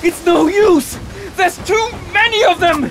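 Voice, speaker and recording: A man's voice: on the high side, very animated, a little slow. A man voicing a brave, defiant warrior prince in a theatrical, exclamatory way for an audio story. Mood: urgent, alarmed. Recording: dramatic music behind the voice.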